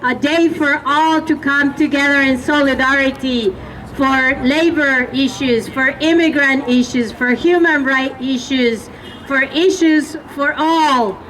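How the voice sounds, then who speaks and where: A woman's voice: high voice, a bit bright. Woman from El Salvador addressing a rally. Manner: impassioned, halting, calls out to the crowd. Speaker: rally speaker. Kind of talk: outdoor rally speech. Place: outdoor rally. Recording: distant mic with crowd noise.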